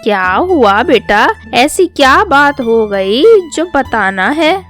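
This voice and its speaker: A woman's voice: fairly high pitch, lively intonation, takes it slowly, a bit bright, faint music behind. A woman voicing a middle-aged mother, exasperated, sensible and scolding, in a storybook tale. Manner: scolding, no-nonsense.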